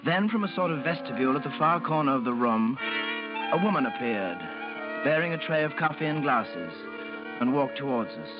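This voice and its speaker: An actor playing a formal, reserved English gambler who tells his eerie tale in measured, literary vintage radio style. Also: mid-range voice, lively intonation, over loud music, natural voice. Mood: grave, suspenseful. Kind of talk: vintage radio horror acting and narration. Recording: muffled old radio recording.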